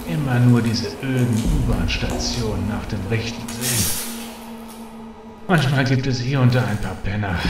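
A man's voice: medium pitch, natural voice. An actor playing a grumpy, bossy night watchman in a German audio drama, grumbling and scolding. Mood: weary grumbling. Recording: roomy, distant, with ambient noise.

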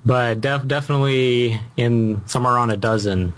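A man joins a podcast as a guest over an internet call. He speaks casually at a middling pitch, with a modest, slightly hesitant delivery. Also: normal speed. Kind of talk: casual chat. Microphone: internet call stream.

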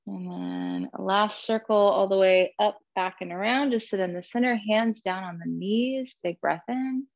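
A woman teaching a guided yoga class in a soothing, gentle, unhurried manner, cueing breath and movement. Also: medium pitch, creaky, no room sound, dull audio.

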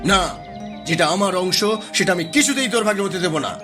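A man's voice: sits high, lively intonation, normal speed, over music. A man playing an aggrieved, hot-tempered village farmer in a cartoon, accusing and defiant. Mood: angry defiance.

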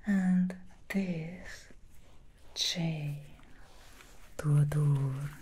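A woman whispering as a slow, coaxing demon stylist. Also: low voice, noisy background. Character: seductive female demon hair stylist. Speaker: ASMR roleplay stylist. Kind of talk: ASMR roleplay.

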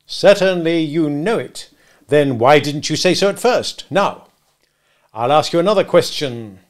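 A man voicing a bullying, sarcastic gentleman who cross-examines like a lawyer in a storybook reading. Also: on the high side, animated, a little slow, creaky, pitched above the performer's own voice. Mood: badgering. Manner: interrogative, sarcastic, emphatic, domineering. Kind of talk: dramatic audiobook character reading.

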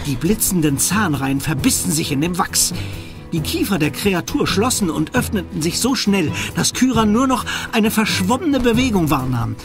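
Male narrator, fairly high voice, dramatic suspense storytelling for a radio play, clear music underneath. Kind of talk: scripted suspense narration for children's audio drama.

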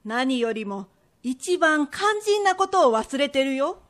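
A woman playing an indignant, protective merchant's wife with sharp old-fashioned townswoman speech in a radio drama. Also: sits high, big pitch swings, slow pace, full character voice. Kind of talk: period radio drama acting.

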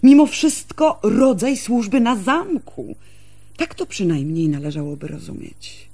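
An actress playing a candid, devoted elder sister from a village in a radio drama, explaining things in a measured, confiding way. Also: female voice, medium pitch, sing-song, a little mellow. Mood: earnest, explaining.

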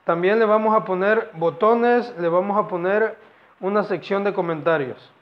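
A young man giving a halting student presentation, explaining project phases and repeating phrases as he goes. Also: sits high. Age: young adult. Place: indoors against a plain wall. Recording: dull lo-fi camera audio.

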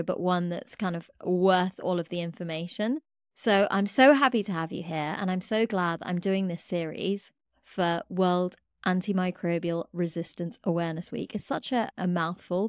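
A woman's voice: fairly low pitch, dry recording. A woman in her thirties hosting an interview, reading an enthusiastic introduction into a studio microphone. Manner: enthusiastic, warm emphasis. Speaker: podcast host. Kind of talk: scripted guest introduction and interview. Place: pink-lit home studio. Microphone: studio mic with headphones. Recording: music bed behind voice.

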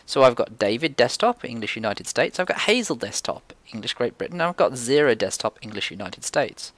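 A man's voice: medium pitch, very animated, brisk, a bit bright, no room sound. A man presenting a casual screencast coding tutorial, informally thinking aloud as he works.

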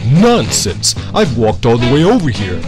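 A man's voice: mid-range voice, huge pitch swings, a little slow, over music. A man voicing a pompous, theatrically appalled official in an amateur comedy dub of a video game. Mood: self-important. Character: pompous male official arresting pirates.

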